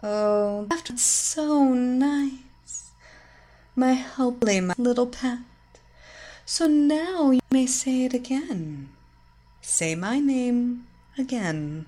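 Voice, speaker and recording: A woman voicing a commanding female hypnotist villain who speaks in a slow, soft, lingering hypnotic cadence. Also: on the high side, lively intonation. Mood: coaxing. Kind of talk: hypnosis audio story acting.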